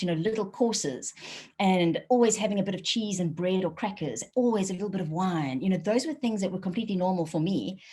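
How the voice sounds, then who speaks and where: Husky-voiced South African woman speaker. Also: mid-range voice. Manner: animated storytelling with light laughs. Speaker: wellbeing speaker. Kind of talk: webinar talk. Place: home office.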